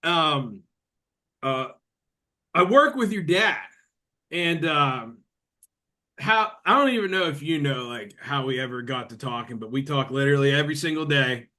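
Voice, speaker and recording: A man in his late thirties hosts a casual podcast. His voice sits a bit high and sounds bright, with an edge to it. He rambles in a chatty way, full of ums and restarts. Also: lively intonation, normal speed, long pauses. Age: 30s. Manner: rambling, chatty, lots of fillers. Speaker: podcast host. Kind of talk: casual chat.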